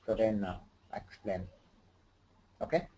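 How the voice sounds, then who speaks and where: A man from Andhra Pradesh with an Indian English accent, tutoring one-on-one as an instructor and pausing often over fillers and loose grammar. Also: lowish voice, mellow, slight background noise. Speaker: SAP HR instructor.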